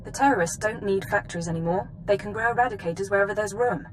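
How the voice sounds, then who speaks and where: A woman's voice: mid-range voice, fast talker, lightly acted. Woman acting a composed intelligence officer, background noise. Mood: composed, businesslike. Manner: crisp, formal, businesslike. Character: composed, precise female intelligence analyst briefing an agent. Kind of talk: video game voice acting.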